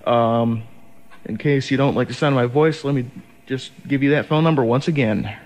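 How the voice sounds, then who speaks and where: A man's voice: medium pitch, moderate pace, a little mellow. A young man hosting as a college radio DJ, chatting casually between songs in a sleepy, hesitant, rambling way on an over-the-air recording. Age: young adult.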